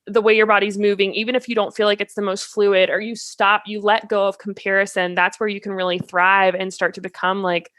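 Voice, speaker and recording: Woman podcast host, casual and encouraging, normal pitch, dry close audio. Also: fairly bright tone, no room sound. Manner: encouraging, affirming, informal. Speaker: podcast host interviewing guests; fitness class instructor and coach. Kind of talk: casual conversational interview.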